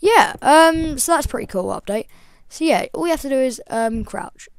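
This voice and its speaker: Croaky chatty young woman gamer. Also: high voice, lively intonation, moderate pace, dry recording, studio quality. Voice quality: slightly croaky. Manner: chatty, rambling with frequent ums. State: croaky, voice breaking. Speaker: gaming channel host. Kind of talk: casual game tutorial voice-over.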